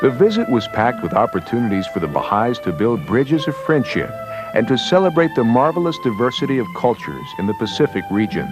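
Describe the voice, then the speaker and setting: A male narrator delivers scripted newsreel documentary copy. His mid-pitched voice moves with lively, varied intonation over a clear music bed. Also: a little fast. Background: background music.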